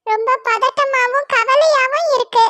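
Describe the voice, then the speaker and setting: A woman's voice: very high voice, unhurried, pushed and bright, no echo, faint music behind, heavily acted. A woman voicing Latchu, a worried mother bird and wife, in an anxious, pleading manner.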